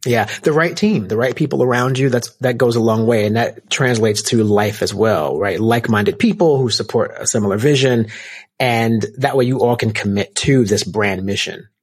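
A man's voice: mid-range voice, normal speed, breathy edge. A man hosting a podcast interview, affirming his guest and reflecting on leadership lessons. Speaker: podcast host.

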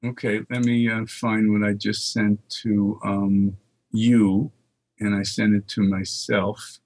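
A man's voice: lowish voice, flat delivery, takes it slowly, a little mellow, dry recording. An older man from the Netherlands, a market research guest, chatting casually and reading long lists of dates aloud. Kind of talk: casual phone interview chat.

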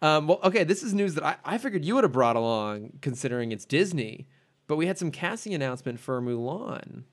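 A man's voice: on the high side, big pitch swings, brisk. A young man co-hosting a podcast in casual banter, teasing and laughing often. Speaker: podcast co-host.

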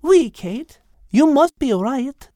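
A woman plays a prim, clever little female creature with a French accent. The voice is very high, with precise, formal phrasing fit for a children's animal story, recorded dry and close. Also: lively intonation, slow pace, mellow, no echo. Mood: reassuring. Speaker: co-host and character in an animal story podcast. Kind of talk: children's audio drama.

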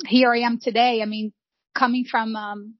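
A woman's voice: a little high, little pitch movement, normal speed, no echo. Woman, middle-aged, reflective and earnest, kinda dull lo-fi sound, dry. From Brazil. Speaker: guest, real estate founder. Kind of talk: podcast interview.

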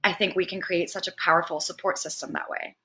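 A woman's voice: fairly low pitch, slight background noise. A woman from Venezuela with Spanish as her native language, talking casually and expressively as an interview guest. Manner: chatty, expressive, quick to laugh. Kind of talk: casual conversational interview, personal stories.

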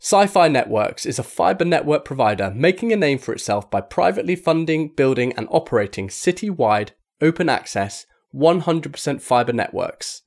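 A man's voice: medium pitch, gravelly. A man reading a magazine article aloud as scripted narration. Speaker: article reader.

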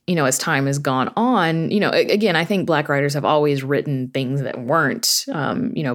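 A woman with a low voice speaks as an interview guest. Her delivery is informal and reflective, in the manner of someone telling a story in conversation. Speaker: guest, horror fiction writer and podcast creator.

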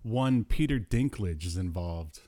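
Low-voiced man, jokey casual podcast host. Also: expressive, unhurried, warm tone. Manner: jokey, chuckles often. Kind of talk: casual pop culture chat.

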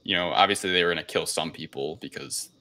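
A man with a very deep voice that still comes across bright and forceful. He talks as a podcast host in casual discussion, hedging and rambling loosely as he recaps a story. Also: quick pace.

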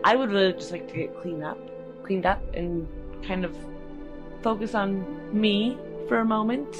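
A woman's voice: medium pitch, normal speed. A woman playing a tabletop game, casually describing her character's choices in the first person. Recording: prominent music under the voice. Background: background music.

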